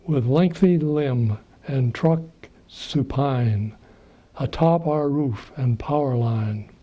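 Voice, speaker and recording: A man's voice: mid-range voice, takes it slowly. A man reading rhyming verse aloud, on a slightly dull, muffled recording. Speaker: reader of poems.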